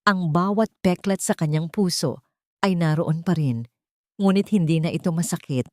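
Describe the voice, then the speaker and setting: A woman narrates a dramatic love story in a fairly low voice. The delivery is measured and solemn, and the sound is dry and close, with little room around it. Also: darkish tone, no echo.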